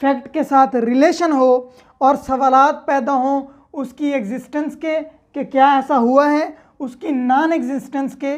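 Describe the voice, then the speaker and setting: A woman's voice: high-pitched, steady tone, measured pace. A woman with a Pakistani accent giving an explanatory law lecture as a teacher, moving between reading aloud and simple explanation. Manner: reads clauses aloud, then explains with simple examples.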